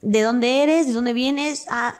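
A woman's voice: fairly high pitch, slow pace. A young woman with an Argentine accent, a comedic actress telling stories animatedly as a podcast guest and acting out quoted dialogue. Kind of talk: casual interview chat.

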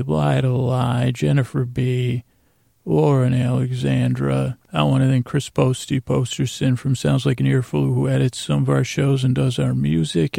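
A man with a gravelly voice hosts a bedtime podcast, droning along in a meandering, halting monologue full of tangents. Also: mid-range voice, mellow, no room sound, studio quality. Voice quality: creaky, gravelly. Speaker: podcast host.

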